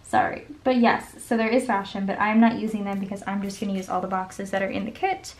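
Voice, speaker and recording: A young woman with a voice going hoarse chats casually while she decorates her planner at a desk at home. She rambles and counts under her breath as she works. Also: medium pitch, level tone, fast. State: losing her voice. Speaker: planner vlogger. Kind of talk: casual plan-with-me chat. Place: home desk, camera overhead.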